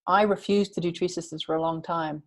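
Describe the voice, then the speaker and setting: Earnest woman speaking in slow, stressed phrases. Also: lowish voice, steady tone. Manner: earnest, slows down to stress words. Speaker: guest. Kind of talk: reflective interview talk.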